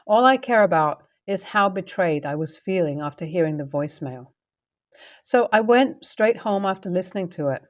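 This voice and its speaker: Lower-pitched woman narrating a personal story. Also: lively intonation, moderate pace, dull audio. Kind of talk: scripted narration of first-person relationship stories.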